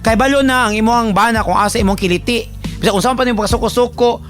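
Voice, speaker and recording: A man's voice: extremely high pitch, quick pace, faint music behind. A man with a Filipino Cebuano accent hosting a radio advice segment, talking in a colloquial, teasing, conversational way to a letter sender. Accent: Filipino, Cebuano Bisaya. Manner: animated, colloquial, teasing, acts out imagined remarks.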